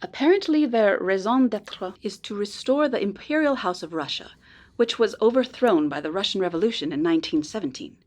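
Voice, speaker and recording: A woman playing a polite, earnest informant in a noir radio drama, speaking in a measured, precise way. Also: mid-range voice, lively intonation, a little mellow, close to an everyday voice. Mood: grave, informative. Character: polite, earnest female informant helping a detective.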